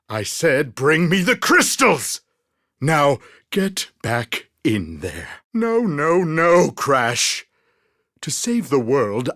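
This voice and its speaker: A man's voice: a little high, a bit bright, no echo, studio quality, exaggerated voice. A man voicing a pompous, scheming, exasperated mad scientist villain in a theatrical video game style.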